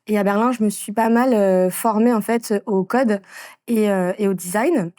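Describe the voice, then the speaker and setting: A woman in her thirties from France, telling her story in a casual interview, with frequent pauses and fillers. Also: mid-range voice, mellow, no room sound, studio quality. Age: 30s. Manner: hesitant, many fillers and restarts. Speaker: interviewee, entrepreneur.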